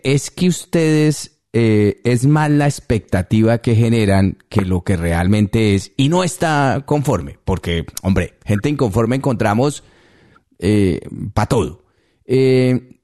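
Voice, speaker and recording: A man's voice: medium pitch, expressive, slow, dark tone. A man hosting a radio interview, asking questions and dropping brief "ujú" acknowledgements. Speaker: radio host.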